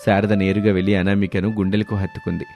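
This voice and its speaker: A man's voice: fairly low pitch, level tone, fast, a little mellow, faint music behind. A man narrating a scripted moral story as its storyteller.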